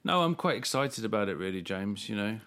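A man's voice: fairly low pitch, lively intonation, fairly quick, fairly bright tone, clear, resonant tone. A man co-hosting a podcast in casual chat, mock-enthusiastic and sarcastic, coming down with a cold and coughing at times. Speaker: podcast co-host.

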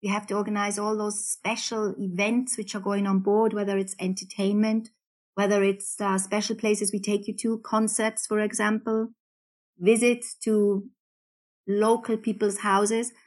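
A woman's voice: medium pitch, little pitch movement, measured pace. Upbeat woman in her fifties with a light Geordie accent, guest on a podcast. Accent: Geordie, softened. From England. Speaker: guest cruise director. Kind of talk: podcast interview.

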